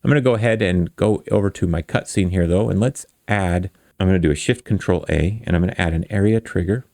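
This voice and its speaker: A man's voice: sits low, fast, creaky, darkish tone, dry recording, studio quality. A man hosting a casual coding tutorial, thinking aloud in an informal, self-deprecating way. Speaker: tutorial host.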